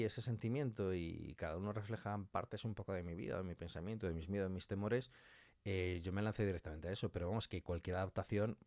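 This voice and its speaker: A man speaking as a guest in a casual interview, a writer and director explaining his craft in colloquial, animated talk. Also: fairly low pitch, no room sound.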